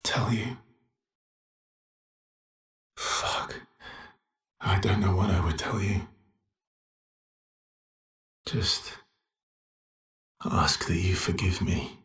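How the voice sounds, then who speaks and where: Male game hero: weary, guarded expeditioner with quiet regret. Very raspy, low voice, understated and brooding. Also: drawn-out words, long pauses, no echo, clean studio sound, heavily acted. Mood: grieving, remorseful. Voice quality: husky, breathy. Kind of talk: video game voice lines, dramatic acting.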